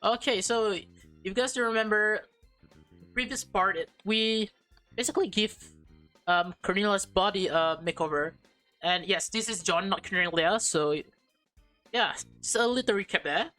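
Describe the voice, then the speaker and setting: A woman's voice: medium pitch, moderate pace, pauses often, sharp tone. A woman hosting a gaming video, giving casual, playful commentary and reading dialogue aloud with small stumbles. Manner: informal, playful reacting, stumbles while reading. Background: background music.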